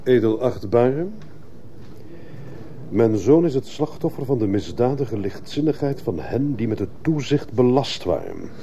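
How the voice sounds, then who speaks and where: A man's voice: mid-range voice, very animated, a little slow, dark tone. An actor playing a zealous fascist father accusing others over his son's death, declamatory and indignant in a radio drama. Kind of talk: radio drama acting, courtroom oratory. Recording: muffled old radio play, faint noise.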